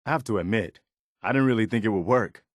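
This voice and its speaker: Guy playing earnest militia soldier in a game, lowish voice, plainspoken n loyal, close dry sound. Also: fast talker, no room sound, lightly acted. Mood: wary, resolute. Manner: sincere, plainspoken, conversational. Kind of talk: video game companion dialogue.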